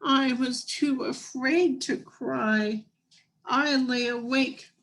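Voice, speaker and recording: A woman voicing a brave but frightened young girl in a children's storybook, earnest, hushed and deliberate. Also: sits high, heavily acted. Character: Cassie, brave frightened young girl telling her escape.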